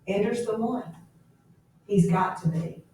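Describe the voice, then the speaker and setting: A woman's voice: medium pitch, takes it slowly, warm tone, a little hiss or hum, close to an everyday voice. A woman reading a novel aloud as an earnest male army lieutenant with an urgent, insistent manner. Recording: very echoey room, distant mic.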